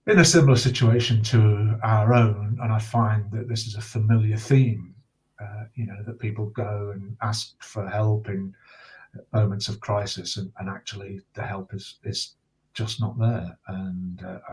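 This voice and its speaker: A middle-aged man speaking as an interview guest, giving serious personal testimony in a measured, halting way with deliberate pauses. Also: lowish voice, a little mellow, low-quality recording. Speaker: interview guest, bereaved father and campaigner.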